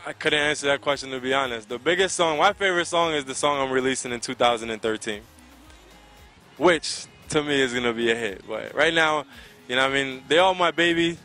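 Bright-voiced American man in casual interview. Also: medium pitch, fairly quick, faint music behind. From Connecticut, United States. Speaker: soca singer being interviewed. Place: outdoors by a riverside. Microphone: handheld microphone held close to the mouth.

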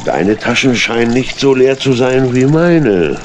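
An actor playing a sly, falsely chummy tramp in a children's audio play, with an oily, folksy drawl. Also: male voice, medium pitch, expressive, measured pace, a little hiss or hum, close to an everyday voice. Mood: wheedling, crafty. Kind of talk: children's audio drama acting.

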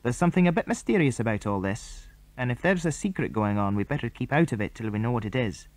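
A man's voice: mid-range voice, expressive, fast, a little mellow, no room sound, lightly acted. Man reading as a firm, sensible British boy. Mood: cautious. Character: sensible, cautious older boy leading stranded children. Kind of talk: children's storybook reading.

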